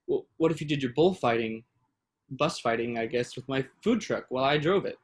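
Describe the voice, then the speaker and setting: A man acting a gentle, dry-humoured young boyfriend in a staged play reading, understated and conversational. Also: medium pitch, animated, a little fast, natural voice. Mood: earnest.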